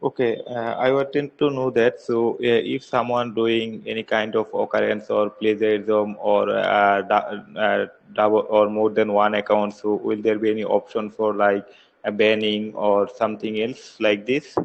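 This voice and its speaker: A man calls in to ask a question, halting and filling gaps as he goes. His voice is low, gravelly and flat. The recording is dull and lo-fi. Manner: hesitant, many fillers. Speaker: caller asking a question. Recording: dull lo-fi audio.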